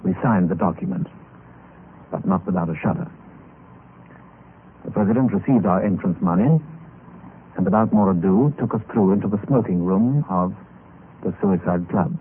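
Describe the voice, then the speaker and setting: A man acting a bored, cool gentleman adventurer who recounts his tale in a suave, formal, old-time radio style. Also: low voice, moderate pace, long pauses, natural voice. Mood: grave. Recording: noisy, tinny old radio recording.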